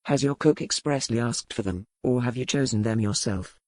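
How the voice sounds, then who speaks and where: A woman voicing an earnest male physician in a storybook, speaking formally and with measured courtesy. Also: very deep voice, a little mellow, no echo, pitched below the performer's own voice.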